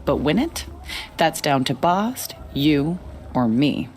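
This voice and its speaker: Cocky young huntress in a game, deep for a woman, swingy delivery, wry. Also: very animated, slow pace, a little mellow, full character voice. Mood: wry, competitive. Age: young adult. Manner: wry, self-assured. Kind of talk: video game voice acting.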